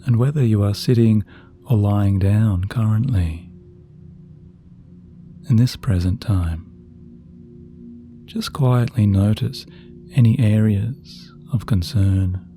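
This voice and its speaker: A man narrating a sleep meditation in a soft, gentle, soothing voice with slow, measured phrasing. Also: on the low side. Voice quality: soft, very dark and mellow. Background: relaxing background music.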